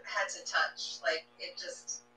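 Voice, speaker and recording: A woman chatting casually and informally in loose, halting phrases. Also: on the low side, over music. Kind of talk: casual chat. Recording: echoey room.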